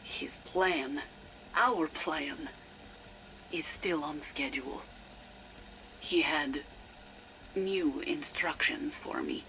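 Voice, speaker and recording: An actor playing a haunted, fanatically devoted man recording a hushed, measured personal log for a game. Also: a little high, big pitch swings, a little hiss or hum, full character voice, poor audio. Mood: reverent, resolute. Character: solemn devoted zealot, fanatically faithful and haunted. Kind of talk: dramatic audio log monologue.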